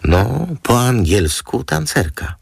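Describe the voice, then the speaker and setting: A man voicing a composed, dryly witty woman lawyer who speaks in a calm, politely formal manner in an audiobook. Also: medium pitch, slow pace, creaky, husky, no echo, clean studio sound, pitched above the performer's own voice, exaggerated voice. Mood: cool, obliging.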